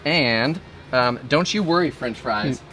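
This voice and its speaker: A man's voice: mid-range voice, animated, slow, sharp tone, faint music behind. A man in his thirties hosting a casual outdoor cooking show, talking in an upbeat, joking way as he cooks. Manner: upbeat, joking, quoting movie lines. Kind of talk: casual cooking demo with banter. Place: outdoor griddle kitchen with wood walls. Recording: griddle sizzle behind voice.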